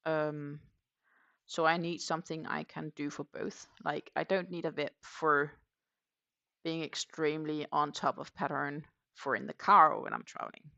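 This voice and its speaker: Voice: mid-range voice, level tone, moderate pace, vocal fry, bright, forceful tone, no room sound, muffled recording. A crochet livestream host from Denmark with a Danish accent, chatting casually and answering viewer comments while working. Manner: thinks aloud, with many fillers and trailing sentences.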